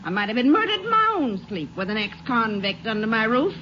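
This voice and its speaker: A woman's voice: a little high, big pitch swings, fairly quick, noisy background. An American actress playing an indignant, gossipy small-town Texas woman in a vintage radio drama, huffy and folksy. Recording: dull vintage radio recording.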